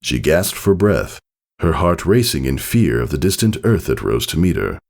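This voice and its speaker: A man's voice: rumbling low, very animated, normal speed, gravelly, darkish tone. A man reading scripted fiction aloud as a dramatic storyteller. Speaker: fan fiction narrator. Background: music.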